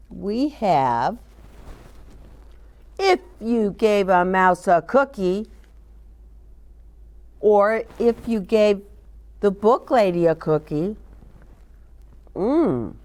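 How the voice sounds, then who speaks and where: Female children's storyteller: unhurried, very expressive, wide swings in pitch, speaking directly to little ones with questions. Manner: animated, sing-song, addresses children with questions. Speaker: children's storyteller and show host. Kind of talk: read-aloud and chat for young children.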